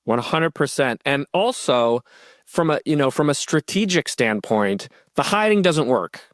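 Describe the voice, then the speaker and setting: A man's voice: a little high, expressive, unhurried, dry recording, clean studio sound. A man hosting a podcast, talking in a reflective way and thinking aloud with fillers. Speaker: podcast host. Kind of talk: conversational interview.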